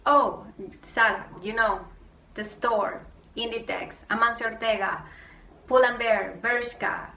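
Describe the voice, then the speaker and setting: A woman's voice: fairly high pitch, gravelly. A young woman from Venezuela, with a Venezuelan accent and Spanish as her first language, ranting casually to camera with lots of fillers. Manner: quick, animated storytelling with many fillers. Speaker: vlogger. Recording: roomy echo, distant mic, faint noise.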